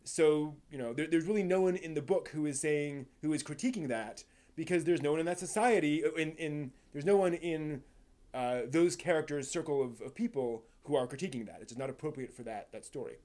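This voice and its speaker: A man's voice: fairly high pitch, darkish tone. A man hosting a live stream, talking casually off the cuff to viewers, often rephrasing as he thinks aloud. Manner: halting, thinking aloud, rephrasing mid-sentence.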